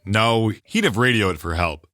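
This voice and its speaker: An earnest heroic space captain. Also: male voice, lowish voice, lively intonation, measured pace, bright, forceful tone, no room sound.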